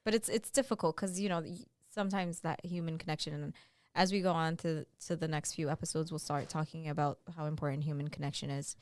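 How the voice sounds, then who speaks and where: A young woman co-hosting a podcast, talking earnestly and haltingly with many fillers. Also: deep voice, steady tone, no echo. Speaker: podcast co-host. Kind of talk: casual chat.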